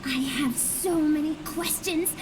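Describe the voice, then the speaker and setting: Squeaky, chirpy little companion girl. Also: slow. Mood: wistful, eager. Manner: chirpy, refers to self in third person. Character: tiny cheerful chatterbox floating companion girl, childlike and food-loving. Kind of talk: video game voice acting. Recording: game music under the voice.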